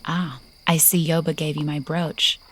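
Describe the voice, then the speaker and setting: An actress playing a restless, impulsive young princess who confides breathily in an audio drama. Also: female voice, low-pitched, level tone, measured pace, a bit bright. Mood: confiding. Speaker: supporting character.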